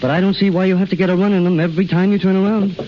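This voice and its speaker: Guy playing impatient young husband in 30s radio ad, highish voice, muffled with some hiss. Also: little pitch movement, racing, lightly acted. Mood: exasperated. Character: brisk, exasperated young husband in advert. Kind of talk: 1930s radio commercial acting. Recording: muffled 1930s radio, faint static.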